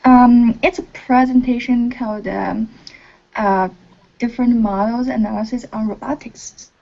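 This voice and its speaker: A woman from China, a PhD student, talks in a casual online meeting. She is earnest and halting, often pausing on fillers. The call audio sounds muffled. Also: fairly high pitch, steady tone, gravelly, warm tone.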